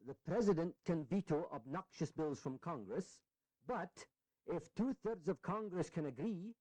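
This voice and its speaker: Casting: genial colonial legislator, measured explainer, high voice with very animated melody, period radio play. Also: male voice, dry recording, rough recording. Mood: satisfied. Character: genial, orderly founding-era statesman explaining his plan. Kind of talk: historical audio drama acting.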